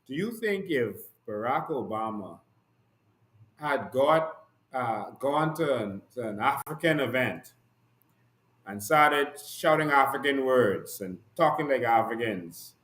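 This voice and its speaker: Bahamian host, mid-pitched voice, fiery rhetorical delivery, recorded in an echoey room. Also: male voice, expressive, slow pace, a bit bright. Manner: passionate, rhetorical and emphatic, with repeated declarations. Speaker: host and activist. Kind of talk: impassioned political commentary.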